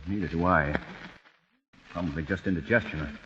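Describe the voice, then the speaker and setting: A man's voice: low-pitched, normal speed, natural voice. An actor playing a blunt, skeptical male dinner guest in 1940s radio acting. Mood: skeptical, brusque. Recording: dull lo-fi old radio recording with faint hiss.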